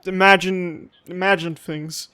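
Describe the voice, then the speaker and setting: High-pitched man doing gaming commentary. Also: steady tone, measured pace, bright, forceful tone, smooth. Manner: joking, incredulous reactions. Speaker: gaming video host. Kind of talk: casual Let's Play commentary.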